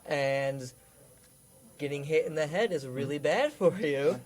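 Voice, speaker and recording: A man's voice: on the high side, unhurried. A man chatting casually as a student contestant on a comedy panel game. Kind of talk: casual comic banter.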